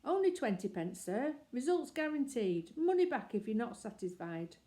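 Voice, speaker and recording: A woman playing a shifty, untidy male photographer who wheedles and pushes in a storybook. The voice jumps up high and loud over a mellow reading tone. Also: sing-song, moderate pace. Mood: wheedling. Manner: pushy and wheedling.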